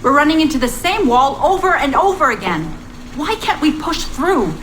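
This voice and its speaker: A woman's voice: high voice, big pitch swings, normal speed, a bit bright, poor audio. Tired driven lady scientist, middle-aged, clipped delivery, bit of noise behind. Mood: frustrated. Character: driven, weary woman scientist and mother. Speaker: research scientist. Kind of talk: naturalistic audio drama dialogue. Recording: audible background ambient noise.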